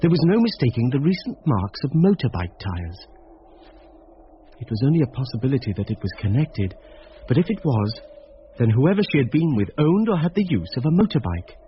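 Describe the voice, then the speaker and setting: An actor playing an earnest, rational amateur sleuth, thinking through his investigation in measured, dramatic radio drama style. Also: medium pitch, big pitch swings, close to an everyday voice. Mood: brooding. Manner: measured, reflective, talking things through to himself. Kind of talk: dramatic first-person radio drama narration and musing. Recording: muffled radio drama recording with background noise.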